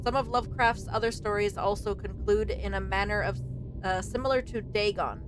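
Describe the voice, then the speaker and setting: A woman streamer giving casual, expressive let's play commentary as she reacts to a game. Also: medium pitch, moderate pace, music in the background.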